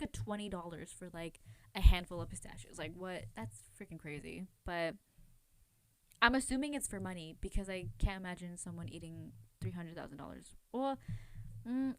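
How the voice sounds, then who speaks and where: A woman's voice: on the low side, no room sound. A woman hosting a casual, chatty true crime podcast, talking informally in a rambling, self-questioning way with lots of filler words. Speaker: podcast host.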